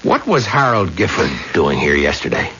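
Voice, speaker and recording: An actor playing a suspicious, troubled husband in an old-time radio drama, emphatic and halting under strain. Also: male voice, low-pitched, big pitch swings, heavily acted. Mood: indignant, suspicious. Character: suspicious, agitated husband, weak and torn. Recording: muffled old radio recording, loud noise.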